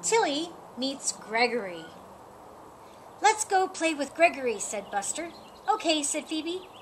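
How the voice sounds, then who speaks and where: Slow, lively woman reading aloud. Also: sits high, a little hiss or hum. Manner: slow, lively, lifts her voice for animal lines. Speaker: storyteller. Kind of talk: reading a picture book aloud. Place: outdoors in a sunny yard. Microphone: camera a few feet away.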